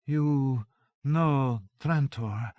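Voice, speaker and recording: A tiny, zealous young maiden who speaks of holy battles in high, ringing tones, as a male narrator puts her on. The delivery is fervent and declaiming. The sound is dry and close. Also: steady tone, moderate pace, no echo, lo-fi sound, close to an everyday voice. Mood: droning. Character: Joan of Arc as a tiny zealous young woman. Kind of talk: audiobook character voice.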